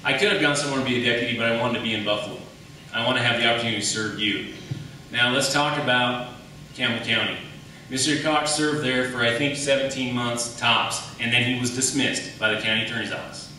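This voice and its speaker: A very reverberant, distant recording with faint noise carries an American man debating as a candidate. His voice is bright and forceful, and he argues emphatically, often putting questions and answering them. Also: mid-range voice, quick pace. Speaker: defense attorney running for county attorney. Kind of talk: campaign debate speech. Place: echoey hall at a public debate. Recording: very echoey room, distant mic, faint noise.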